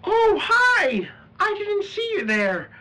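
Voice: extremely high pitch, wildly swooping pitch, slow, pushed and bright, heavily acted. An actor voicing an eager, naive, friendly puppet boy in a children's show, with exaggerated bubbly delivery. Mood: bubbly, welcoming.

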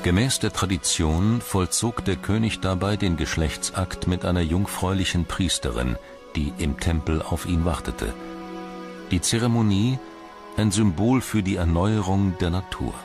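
A man reading scripted documentary narration in a measured, dramatic storytelling manner. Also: sits low. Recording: music bed behind the voice. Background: music.

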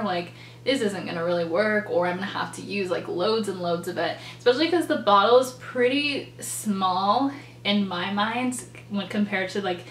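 A woman's voice: mid-range voice, a bit bright. A young woman in her twenties reviewing hair products to camera from her bedroom, chatty and enthusiastic with a lot of ums and likes, and a little stuffed up and nasal from a cold. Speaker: beauty vlogger. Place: bedroom, indoors. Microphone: camera in front, seated. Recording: echoey room with faint hum.

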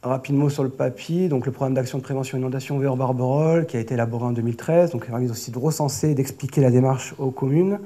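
Mellow, hesitant French man presenting. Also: mid-range voice, racing, few pauses. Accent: French from France. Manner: hesitant, often repeating and rephrasing words. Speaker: flood prevention project manager, conference speaker. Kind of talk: conference presentation, semi-improvised. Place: auditorium stage at a lectern. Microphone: lectern gooseneck microphone.

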